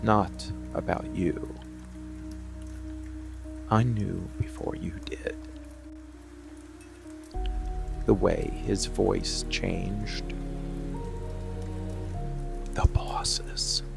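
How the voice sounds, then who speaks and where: Man acting a gravelly, slow-murmuring monster boyfriend. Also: fairly even intonation, heavily acted. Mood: quiet, possessive. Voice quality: low, gravelly, breathy murmur often near a whisper. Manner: slow, deliberate delivery with long pauses. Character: possessive dark monster boyfriend, hidden protective watcher. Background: rain and music.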